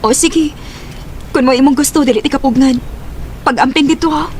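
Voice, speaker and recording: A woman's voice: sits high, brisk, darkish tone, a little hiss or hum, close to an everyday voice. An actress with a Cebuano accent playing a sweet, affectionate young female student and girlfriend. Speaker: radio drama actress. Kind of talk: Cebuano radio drama acting.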